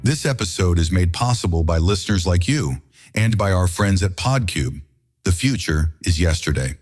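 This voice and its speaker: A male announcer reads a scripted sponsor message. His voice is deep and rough-edged, with faint music underneath. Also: animated, moderate pace, a little mellow.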